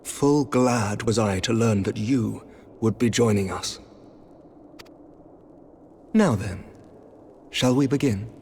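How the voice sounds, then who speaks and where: An actor playing a dignified, courteous knight commander in a video game, speaking formally and resolutely. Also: male voice, on the low side, takes it slowly, pauses often, a little mellow, a little hiss or hum. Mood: courteous, composed. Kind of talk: scripted video game cutscene dialogue. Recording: orchestral game music behind the voice.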